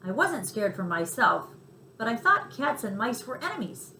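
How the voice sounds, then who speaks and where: A woman's voice: fairly high pitch, big pitch swings, moderate pace. A woman voicing a timid, earnest young-sounding male country cricket in a storybook, shy and unsure of himself. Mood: sheepish. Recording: roomy echo, faint background noise.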